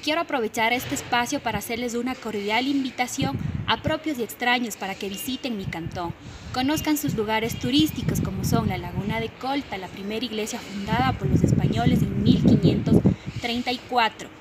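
A woman's voice: on the high side, brisk. Young woman, formal proud invitation. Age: 20s. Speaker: pageant candidate. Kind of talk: prepared on-camera invitation. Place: outdoor courtyard with trees. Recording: loud outdoor background noise.

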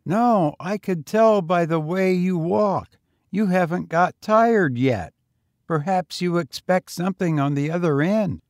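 A man acts out a frail, undersized homeless youth of eighteen in a narrated story. The voice is pitched well up and husky. He talks in a knowing, insistent, colloquial way, weary beyond his years. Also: measured pace, dry recording, studio quality. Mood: boastful, knowing.

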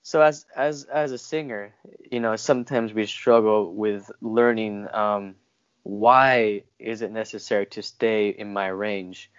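Low-voiced young man interviewing, haltingly. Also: steady tone, clean tone. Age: young adult. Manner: halting, hesitant when framing questions. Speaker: interview host. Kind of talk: casual, unscripted interviewing. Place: indoor room at home, video call. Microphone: wired earphones on a video call. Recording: dull, muffled video-call audio.

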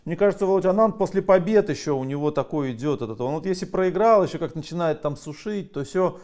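A man's voice: a little high, expressive, fast, a little mellow. A man hosting a video-call interview from home, chiming in with short agreeing remarks and casual comments. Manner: brief agreeing interjections, friendly familiar address. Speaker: host interviewer. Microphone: video call microphone.

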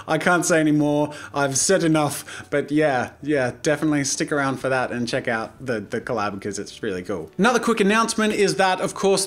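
Upbeat man, rapid-fire announcements. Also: a little high, fairly bright tone. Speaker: YouTuber and animator. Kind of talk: fast announcement vlog. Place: indoor home studio, small room.

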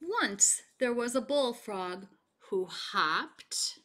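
A woman in her forties reading a children's picture book aloud and explaining it, expressively and with deliberate pauses. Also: on the high side, lively intonation, pushed and bright. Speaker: read-aloud storyteller. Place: home kitchen, indoors.

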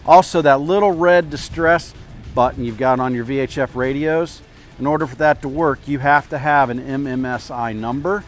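An older man with a mid-pitched voice gives practical boating instruction in a casual, explanatory way. He speaks outdoors on a clip-on mic, with music behind him. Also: normal speed. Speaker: boat captain giving boating tips. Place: outdoors at a marina by a parking lot. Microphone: clip-on lapel mic.

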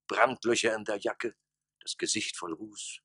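An actor playing a pompous, forlorn mayor of a ruined town of fools in a radio play, with a hoarse, breathy rasp and theatrical, declamatory delivery. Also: male voice, fairly low pitch, sharp tone, dry recording, studio quality, heavily acted. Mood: forlorn, weary. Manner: theatrical, declamatory, slow dramatic pauses. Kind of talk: German radio play monologue.

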